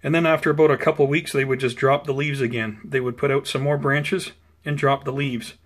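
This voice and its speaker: A man with a medium-pitched voice talks through a home gardening update. He is conversational and unhurried, explaining things off the cuff as a hobby channel host would, recorded indoors on a handheld camera. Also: fairly even intonation. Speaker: host of a plant-growing video channel. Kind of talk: casual unscripted plant update narration. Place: indoors at home beside potted seedlings.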